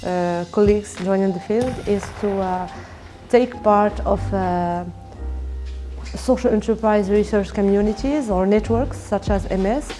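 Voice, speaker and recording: A woman from Morocco answers interview questions in a mellow, dark-toned voice, pausing often. Light music plays quietly beneath her. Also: medium pitch. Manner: hesitant, soft-spoken. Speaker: PhD candidate.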